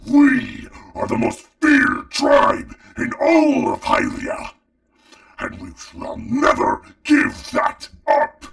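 A stern male dragon speaks in a gravelly voice that swings dramatically between low and high. He is proud and scornful, a menacing father in a fantasy audio drama. The voice sits away from the mic, with music behind it. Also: huge pitch swings, takes it slowly, fairly bright tone, dry recording, distant mic, full character voice. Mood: indignant, scornful.

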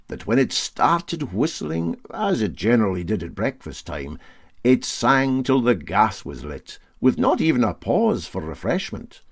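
A man's voice: medium pitch, dry recording, studio quality. A man with a Scottish accent reading a story as a scripted audiobook narration.

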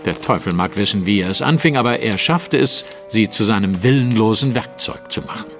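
An actor playing a composed, commanding Victorian detective in a German audio drama, speaking precisely and with theatrical authority. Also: male voice, lowish voice, brisk, long reverb tail, lightly acted. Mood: grave. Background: music.

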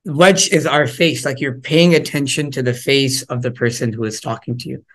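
A man's voice: medium pitch, steady tone, moderate pace, fairly bright tone. Male workshop presenter on a video call, conversational, lots of "you know" and restarts, engages the room. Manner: conversational, engaging the audience with questions; frequent fillers and repeated words. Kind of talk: interactive parenting workshop talk.

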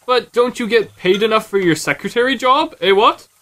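A man's voice: sits high, moderate pace, fairly bright tone. A man playing a pompous, fussy old-fashioned captain in a comic audio drama, with a stuffy manner. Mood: inquisitive, disapproving. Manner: stuffy, ending remarks with a verbal tic.

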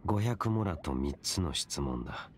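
Casting: a cold, solemn young wanderer for a fantasy game, bottom-register voice, unhurried and formal. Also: male voice, rumbling low, expressive, slight background noise. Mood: cool, measured. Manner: measured, stern, detached. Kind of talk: Japanese game voice acting.

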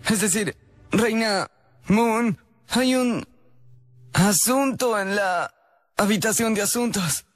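An actor playing a nervous servant in a Spanish cartoon dub, halting and stammering. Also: male voice, sits high. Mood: flustered. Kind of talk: Spanish-dubbed cartoon acting.

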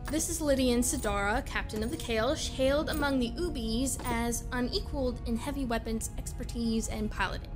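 A woman's voice: a little high, normal speed, few pauses, over music, natural voice. A woman playing a formal, commanding rebel team leader in an audio drama, dry in manner. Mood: briefing.

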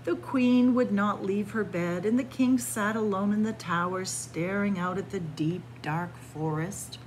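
A woman reading a picture book aloud as a library story time host, expressive and pausing deliberately between phrases. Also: medium pitch, slight background noise, rough recording. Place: indoors in a library, among bookshelves.

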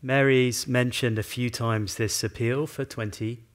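Measured male panel moderator. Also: medium pitch, steady tone. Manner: measured, posing questions with restarts. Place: conference room.

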